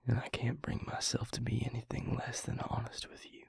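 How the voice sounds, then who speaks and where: A hushed, very breathy male vampire played by a man speaks to a lover with a guarded but tender heart. The voice crackles low in the throat, close and dry on the mic. The delivery is halting, plain-spoken and earnest, as in a romantic audio roleplay. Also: completely flat delivery, dry recording, exaggerated voice. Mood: tender, vulnerable. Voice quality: breathy, gravelly near-whisper. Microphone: close microphone in a dry room.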